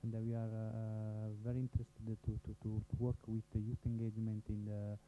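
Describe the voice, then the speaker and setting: Man from Italy, low voice, halting intro, narrow phone-like sound. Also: monotone, a little hiss or hum. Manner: hesitant, many fillers. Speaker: regional cooperation officer. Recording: phone-like thin audio.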